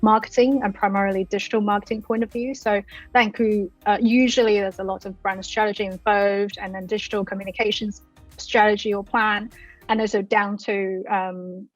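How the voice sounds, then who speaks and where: A woman from China who works as a marketing consultant, answering interview questions hesitantly with frequent pauses. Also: mid-range voice, fairly even intonation, faint music behind, poor audio. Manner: hesitant, many ums and restarts.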